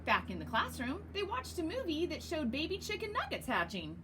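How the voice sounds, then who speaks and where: A woman reading a children's story aloud in a very expressive, emphatic narrating style. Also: high voice, brisk, noisy background. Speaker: storyteller reading a picture book.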